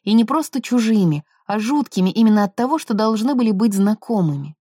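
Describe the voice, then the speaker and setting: A woman narrates a novel in a soft, mellow voice. The sound is dry, with very little room around it. Her delivery is scripted literary storytelling. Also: mid-range voice, expressive, a little fast, slightly rough, no echo. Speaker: audiobook narrator.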